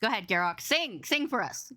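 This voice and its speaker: A woman with a loud, boomy voice hosting a casual livestream podcast, effusive, playful and rambling as she chats with her guest. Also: sing-song, brisk, noisy background. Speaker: podcast host and interviewer.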